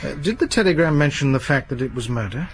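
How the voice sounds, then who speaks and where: An actor playing a polite, measured police detective in a radio drama, asking careful questions. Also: male voice, medium pitch, animated, natural voice. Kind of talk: 1980s BBC radio drama acting.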